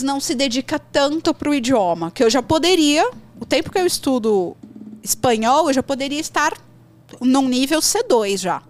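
A Brazilian woman in her thirties hosting a podcast, talking in an animated, chatty and slangy way. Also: high voice. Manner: animated, chatty, slangy, jumps between thoughts. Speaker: podcast host, English teacher. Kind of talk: casual podcast chat.

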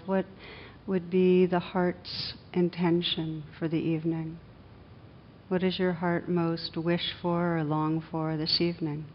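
A woman meditation teacher speaks in a lowish, fairly even voice. She guides gently and soothingly, leaving long pauses between short phrases. The recording is a little dull, with a faint rumble of noise behind her.

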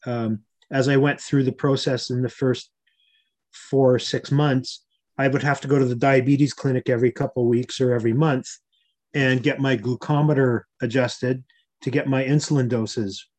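Measured man in his sixties, medium pitch. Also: steady tone, a little mellow. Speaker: interview guest. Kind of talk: informal explanatory health talk.

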